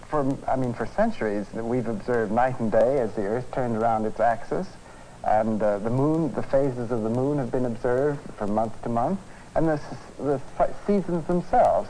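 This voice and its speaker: An old educational film soundtrack with faint noise carries a man's mid-pitched voice. He lectures as a physics professor, explaining and demonstrating in a measured but conversational manner. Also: darkish tone, poor audio. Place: film studio.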